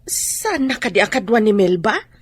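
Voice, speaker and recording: Husky, fretful Filipino mother. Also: medium pitch, very animated, normal speed. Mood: anxious questioning. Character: worried, disapproving middle-aged mother. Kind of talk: radio drama acting.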